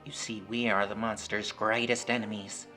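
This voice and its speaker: A man voicing a magic puppet in a horror story, calm and secretive, persuading and explaining in an ominous way. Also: fairly low pitch, moderate pace, soft background music. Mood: hushed warning.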